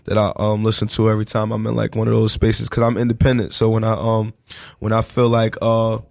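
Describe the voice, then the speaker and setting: A man's voice: on the low side, monotone, brisk, gravelly, a little mellow. A man chatting casually and in slang as an artist guest on a radio interview. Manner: slangy, repeats catchphrases like "you know what I'm saying". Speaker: guest, independent hip hop artist.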